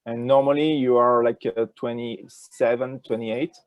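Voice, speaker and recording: An older man with a French accent, answering interview questions in a halting way with many fillers, speaking over a video call with a wired earphone mic. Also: mid-range voice, steady tone, dry recording, highs cut off. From France. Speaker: ecology professor, interview guest. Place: indoors with a virtual nature backdrop.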